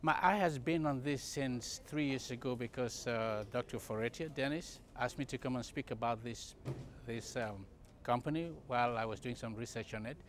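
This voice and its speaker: A man's voice: mid-range voice, moderate pace, a little hiss or hum. A man from Cameroon answering interview questions at a conference, with frequent fillers and restarts. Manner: hesitant, many fillers and restarts. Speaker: congress participant, medical company CEO. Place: conference event.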